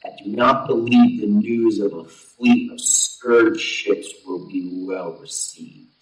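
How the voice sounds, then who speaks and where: A man playing a grave, impatient alien warrior in an audio drama, speaking in formal, measured, solemn lines. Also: on the low side. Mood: stern, urgent. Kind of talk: scripted audio drama dialogue. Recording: roomy echo, distant from mic.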